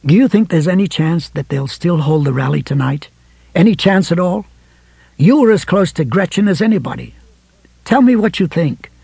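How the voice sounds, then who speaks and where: A man voicing an earnest, anxious man in an audiobook, speaking carefully and pressing gently. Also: fairly high pitch, animated, normal speed, vocal fry, husky, dry recording, heavily acted. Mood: careful questioning. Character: earnest, anxious man pleading with a distraught friend.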